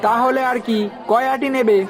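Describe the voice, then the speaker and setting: A man's voice: extremely high pitch, steady tone, normal speed, a faint crowd. A man voicing an unbending market vegetable seller in a cartoon, curt and matter-of-fact. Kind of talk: cartoon voice acting.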